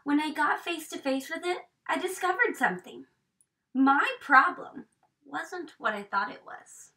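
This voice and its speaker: A woman in her thirties reading a picture book aloud expressively, with dramatic hushed emphasis, recorded from a camera across a small room. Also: sits high, lively intonation, measured pace. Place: small indoor room with bookshelves. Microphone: camera a few metres away. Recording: distant microphone in a room.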